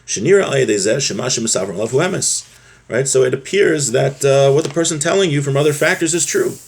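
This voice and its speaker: A man with a husky voice giving an informal religious lesson, explaining a text step by step and often rephrasing his points. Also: mid-range voice, animated, quick pace. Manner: repeats and rephrases, checks in with "right?". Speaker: religious teacher giving a class.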